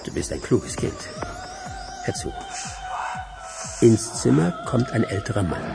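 A sly director guy coaxing, lively pitch, bit of fry, music under it, radio play. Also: unhurried, full character voice. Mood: coaxing, instructive. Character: manipulative male film director coaxing a child. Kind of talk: radio crime drama acting. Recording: clear music behind the dialogue.

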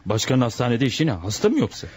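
An actor playing a jittery, hard-drinking disgraced doctor turned criminal in a radio drama, excitable and wheedling. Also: male voice, mid-range voice, fast talker, husky. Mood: impatient.

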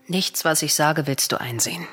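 Cautious, admonishing sister, lowish voice. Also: female voice, fairly bright tone, breathy edge. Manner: formal, measured, admonishing. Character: cautious, reasoning sister of a vengeful princess. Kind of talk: solemn classical verse radio drama acting.

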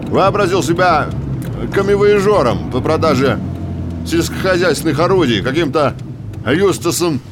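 Casting: male actor for a talkative rural busybody, highish lively voice, audio drama, car rumbling behind. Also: normal speed, close to an everyday voice. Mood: gossipy, animated. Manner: emphatic storytelling with tag questions. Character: talkative small-town man, folksy gossip and vigilant busybody. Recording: clear car noise behind the voice. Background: car engine, outdoor vehicle ambience.

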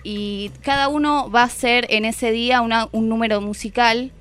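A woman's voice: a little high, fairly even intonation, moderate pace, a bit bright, clean tone. A woman with an Argentine accent giving an unscripted, reflective literary talk as a guest, voicing quoted thoughts as she goes. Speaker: guest reviewing a book. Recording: music playing behind the voice.

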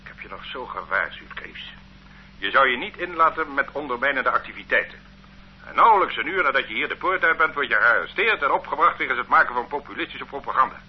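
A man's voice: mid-range voice, lively intonation, fast talker, slight background noise, lightly acted. A man playing a cold, menacing interrogator. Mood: stern. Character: stern male state police interrogator. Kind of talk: radio drama acting. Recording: dull lo-fi old radio recording.

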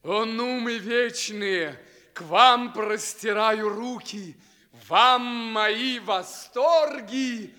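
An actor playing an exalted fairy-tale prince in love, declaiming in a drawn-out theatrical way in a radio play. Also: male voice, high voice, sharp tone, in a large hall, heavily acted. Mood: exalted, grateful.

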